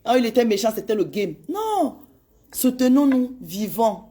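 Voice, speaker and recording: A woman in her forties talking to her followers with a warm, mellow tone. She speaks with heated urgency and pleads with them, hammering the same phrases again and again. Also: on the high side, very animated, moderate pace. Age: 40s. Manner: impassioned and pleading, repeats phrases. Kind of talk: direct address to followers on social media.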